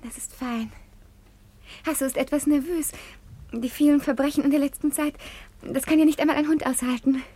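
A woman's voice: sits high, steady tone, moderate pace, mellow, lightly acted. An actress playing a coy, girlish young daughter in a 1950s German radio play. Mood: coy, sweet. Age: young adult.

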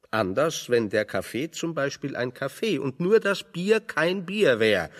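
An actor playing a big, pedantic physicist in a radio drama, speaking in ornate, deliberate, deadpan irony. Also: male voice, medium pitch, in a large hall. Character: big, fat, pedantic physicist, drily ironic. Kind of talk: German radio drama dialogue.

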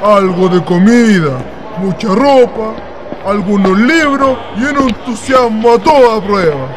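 A man playing a dreamy, enthusiastic young writer in a radio drama, with measured literary phrasing. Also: high-pitched, music in the background. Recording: clear ambient noise behind.

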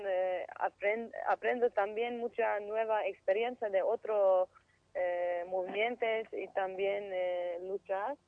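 Halting woman on a dull phone line. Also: mid-range voice, no room sound. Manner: hesitant, halting non-native speech, slips into English. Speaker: interview guest, activist. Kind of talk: halting radio interview over the phone.

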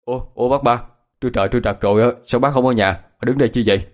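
A man's voice: mid-range voice, racing, lightly acted. A man voicing a village farmer in a storytelling, a fretful young father, humble, flustered and hesitant. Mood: surprised.

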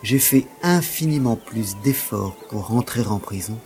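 Man acting an earnest, theatrical gentleman, lowish voice. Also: animated, a little slow, music in the background. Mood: anguished, solemn. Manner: formal, theatrical, literary. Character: earnest, self-dramatizing gentleman lover craving his punishment. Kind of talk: French audio drama acting.

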